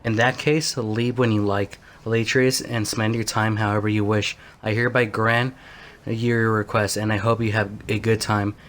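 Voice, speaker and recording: A man playing a composed, commanding king, giving formal, measured speeches in modernised stage language. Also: fairly low pitch, flat delivery, fairly bright tone, a little hiss or hum, natural voice. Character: composed, self-satisfied king, smooth-talking and commanding.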